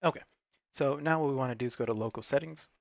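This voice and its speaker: A man's voice: mid-range voice, fast talker, gravelly, no echo. A man giving an unscripted, matter-of-fact, step-by-step tutorial in an instructional way. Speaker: technical support presenter.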